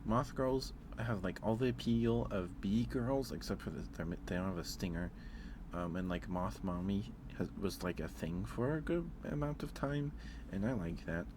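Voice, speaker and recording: A man hosting an ASMR livestream in a soft, whispery voice, hushed and unhurried, close to the listener. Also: fairly low pitch, noisy background. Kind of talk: ASMR, casual chat to live viewers.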